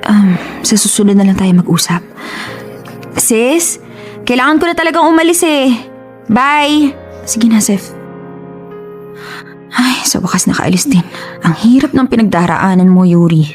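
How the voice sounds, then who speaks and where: A woman's voice: a little high, animated, measured pace, long pauses, a little mellow. A woman with a Filipino accent playing a young, guilt-ridden older sister in a radio drama, hesitant and pleading as she makes excuses. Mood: subdued, uneasy. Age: young adult. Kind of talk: Tagalog radio drama acting. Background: music.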